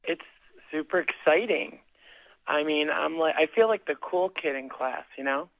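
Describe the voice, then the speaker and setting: A woman's voice: low-pitched, normal speed, dry recording. A woman calling into a radio show as a singing contestant. She talks in a bubbly, giggly, chatty way. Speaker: singing contestant, phone guest. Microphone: telephone. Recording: tinny phone line.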